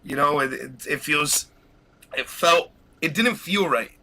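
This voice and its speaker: A man hosting a casual live podcast, talking excitedly and rambling with frequent laughs while fighting a cold. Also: a little high, unhurried, creaky. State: has a cold. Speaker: podcast host.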